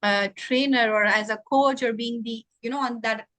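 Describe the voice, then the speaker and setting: A woman talks earnestly as a guest trainer in an interview, thinking aloud with many "you know" fillers. Her voice sits a little high, and the sound is dry and close with no room echo. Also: fairly even intonation, moderate pace, fairly bright tone. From Pakistan. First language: not English. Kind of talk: conversational interview.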